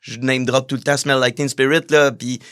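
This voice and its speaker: A man's voice: medium pitch, little pitch movement, normal speed, a bit bright, clear, resonant tone. A man with a Quebec French accent, a musician guest in a casual podcast interview, hesitant with many fillers and restarts.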